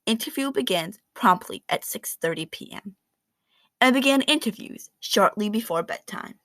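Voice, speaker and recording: A woman reading a children's picture book aloud in an expressive, animated storytelling manner with dramatic emphasis. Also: medium pitch, normal speed, no echo.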